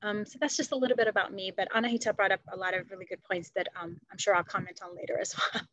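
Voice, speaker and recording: Middle-aged woman panelist, even, steady delivery, dry close sound, headset on a video call. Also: medium pitch, fast, no room sound, muffled recording. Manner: conversational, with frequent fillers and light laughs. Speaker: panelist, political science department chair. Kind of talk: academic panel conversation. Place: home room.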